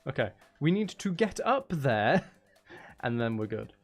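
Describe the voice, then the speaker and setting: Creaky-voiced man streaming a game. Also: mid-range voice, very animated, slow pace, soft background music. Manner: talks to himself, muttering and exclaiming. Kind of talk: casual livestream gameplay commentary.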